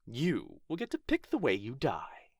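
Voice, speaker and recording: A man acting a proud, wounded, menacing dragon in an ASMR roleplay, taunting theatrically with drawn-out words and whispers. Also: mid-range voice, wildly swooping pitch, no room sound, studio quality, exaggerated voice. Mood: sadistic, toying. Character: proud, menacing, wounded male dragon guarding his gold.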